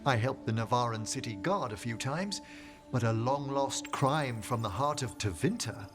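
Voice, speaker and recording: A scholarly necromancer in a fantasy video game, played by a man. He is courteous and eager, with formal, theatrical phrasing and music clearly behind him. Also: medium pitch, expressive, moderate pace, close to an everyday voice. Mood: excited. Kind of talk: dramatic game acting.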